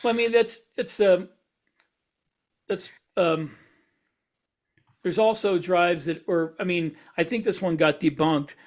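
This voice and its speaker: Higher-pitched man explaining things haltingly. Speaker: podcast co-host and science fiction author. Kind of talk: casual explanatory discussion.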